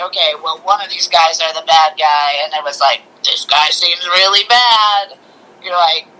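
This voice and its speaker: A woman co-hosting a casual review chat, speaking informally and haltingly with open enthusiasm. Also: mid-range voice, sharp tone, poor audio. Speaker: podcast co-host reviewing films.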